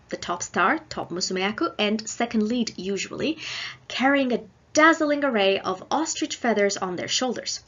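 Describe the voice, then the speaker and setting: A woman delivering a scripted academic lecture in a measured, explanatory manner. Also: on the high side, a bit bright, dull audio. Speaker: guest lecturer.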